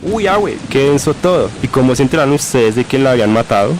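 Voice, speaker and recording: Man acting young male, quick delivery, cynical, curious, radio drama, faint noise behind. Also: mid-range voice, expressive, close to an everyday voice.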